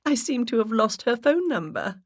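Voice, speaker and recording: A woman's voice: very animated, normal speed, no echo. Woman putting on a giggly, gushing English flirt. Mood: gushing, flirty. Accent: English, exaggerated. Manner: high, breathy, fluttery and effusive.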